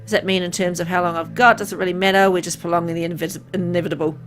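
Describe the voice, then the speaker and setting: A woman's voice: fairly low pitch, steady tone, very fast, faint music behind, close to an everyday voice. A woman reading the lines of a terminally ill man in a visual novel, weary and resigned.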